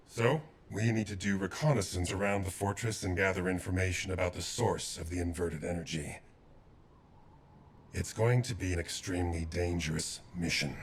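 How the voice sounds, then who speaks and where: An actor playing a grave, authoritative squad leader giving orders in a video game. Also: male voice, sits low, slight background noise. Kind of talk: dramatic video game voice acting.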